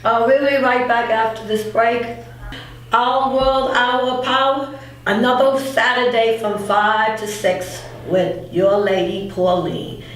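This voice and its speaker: A woman hosting a radio show in a casual, plain-spoken, unhurried way. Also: a little high, fairly even intonation. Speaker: radio host. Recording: very echoey room, distant mic, faint noise.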